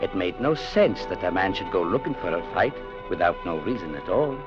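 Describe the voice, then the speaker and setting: An actor playing a firm, plainspoken young clerk who also narrates, in 1940s radio drama style on an old recording. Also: male voice, sits low, sing-song, a little fast, muffled recording, over loud music. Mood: narrating. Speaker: narrator and lead.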